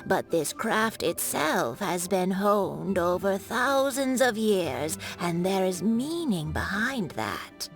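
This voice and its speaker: A woman voicing a proud, wise old female artisan in a video game, with a deliberate, old-fashioned way of speaking. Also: medium pitch, sing-song. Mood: proud, earnest. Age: older. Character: elderly woman kite maker, proud, wise craftswoman. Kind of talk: video game cutscene dialogue. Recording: music behind the voice.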